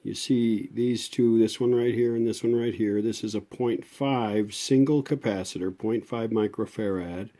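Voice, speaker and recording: Casting: American male workshop host, low and relaxed voice, plain-spoken, walking viewers through repairs step by step. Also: a little slow, few pauses. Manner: plain-spoken, folksy, explanatory. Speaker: host, electronics restorer. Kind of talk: casual hands-on repair narration.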